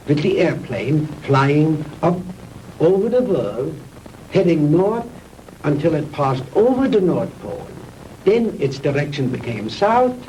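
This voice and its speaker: An actor playing a proud, vengeful mad scientist in a 1960s B-movie, lecturing pompously with theatrical pauses on an old film soundtrack. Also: male voice, on the high side, darkish tone, distant mic, a little hiss or hum, poor audio. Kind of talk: 1960s B-movie sci-fi acting.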